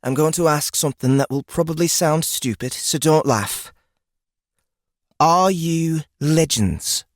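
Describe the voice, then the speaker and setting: Narrator as a brash high-voiced fantasy woodswoman. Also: a little slow, no room sound, studio quality, natural voice. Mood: curious, wry. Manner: blunt, amused, exclamatory. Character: brash, mocking, experienced woodswoman of fantasy world.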